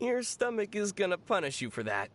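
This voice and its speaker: A woman voicing a teasing, deadpan young traveler in a video game, with a dry manner. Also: mid-range voice, brisk, lightly acted. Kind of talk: video game character dialogue.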